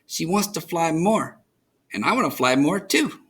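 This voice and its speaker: A man reading a children's picture book aloud as an expressive storyteller, enunciating for young listeners. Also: a little high, unhurried.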